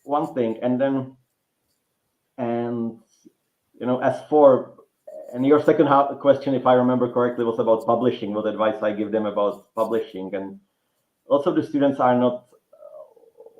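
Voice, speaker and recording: A man's voice: mid-range voice, fairly even intonation, normal speed, pauses often. A man with a Czech accent, a writing teacher and guest author, talking in a rambling way with many restarts and fillers. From Czech Republic. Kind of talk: relaxed interview conversation. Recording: roomy, dull lo-fi sound.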